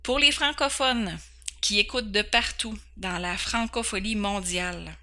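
A woman's voice: medium pitch, unhurried, pushed and bright. A woman in her forties from Quebec with a Québécois French accent, hosting a podcast and introducing herself in an earnest, candid way, stumbling over words now and then. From Quebec, Canada. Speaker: podcast host. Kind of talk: personal introduction.

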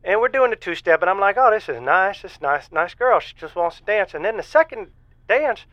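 High-voiced, halting, shy male caller. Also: sing-song, a bit bright, no room sound. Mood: bashful wonder. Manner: halting, repetitive, folksy storytelling. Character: shy, lovelorn bouncer calling a radio show. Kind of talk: semi-improvised audio drama acting. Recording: dull lo-fi phone-in sound.

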